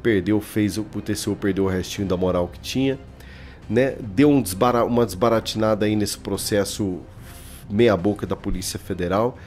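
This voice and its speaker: A man's voice: lowish voice, normal speed, darkish tone, faint music behind. A man in his thirties with a Brazilian Portuguese accent, giving informal, emphatic political commentary straight to his viewers. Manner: colloquial, emphatic, addressing viewers directly. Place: small indoor room, home studio. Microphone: desk microphone.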